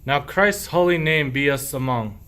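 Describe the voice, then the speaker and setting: A man's voice: fairly high pitch, lively intonation, normal speed, bright, forceful tone. A man acting a sly, henpecked husband and sheep thief in a comic verse radio play, declaiming in rhyme. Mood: groaning, feigned innocence.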